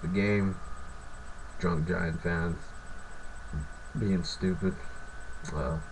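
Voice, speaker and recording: A young man from California, sick with a sore throat and raspy, ranting and swearing in a rambling, filler-heavy way. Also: deep voice, fairly even intonation, drawn-out words, background noise. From Fresno, California. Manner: profane, rambling, lots of filler. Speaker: sports fan vlogger. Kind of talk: casual ranting vlog.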